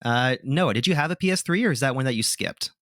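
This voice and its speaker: A man's voice: medium pitch, animated, fast talker, a bit bright, no echo, clean studio sound. A man hosting a gaming podcast, talking casually and giving his opinions with lots of filler words. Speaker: podcast host. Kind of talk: casual chat.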